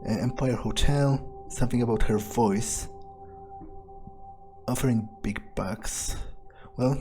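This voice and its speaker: A man plays a cynical male vampire in a game roleplay, thinking aloud in a slow, mellow voice. He is halting and sardonic, crude in his language, and cleanly recorded over faint music. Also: medium pitch. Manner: halting, musing, sardonic, swearing. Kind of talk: improvised in-character video game playthrough.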